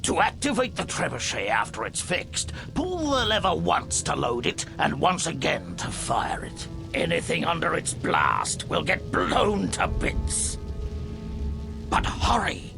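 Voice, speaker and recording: An actor playing a gruff, feisty soldier engineer in a fantasy video game, giving urgent instructions. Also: male voice, fairly high pitch, huge pitch swings, a little slow, music in the background, heavily acted. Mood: urgent, instructive. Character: gruff, crusty male siege engineer soldier.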